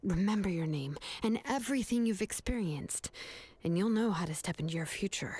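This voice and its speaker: An actress playing a caring, laid-back young artisan woman in a video game, speaking in a relaxed, reassuring manner. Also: medium pitch, brisk, a little hiss or hum, lightly acted. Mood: warm, reassuring.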